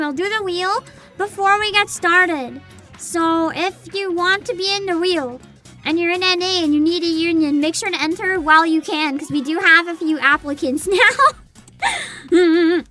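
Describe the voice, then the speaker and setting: A woman livestreamer chatting casually with her viewers in an animated, exclamatory way. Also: very high-pitched. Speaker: livestream host, VTuber. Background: music.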